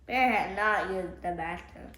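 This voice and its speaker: A woman's voice: medium pitch, a little slow, fairly bright tone. A woman reading a children's story aloud, stumbling here and there and rereading words. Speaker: storybook reader. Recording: echoey room, faint background noise.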